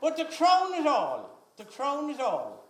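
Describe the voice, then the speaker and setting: A man's voice: extremely high pitch, huge pitch swings, a little slow. An Irish man presenting at a live event, calling out names with emphatic, ceremonial build-up. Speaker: presenter announcing award recipients at an event. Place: large echoey event hall. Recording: echoey hall PA, loud music and crowd. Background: loud music and crowd noise.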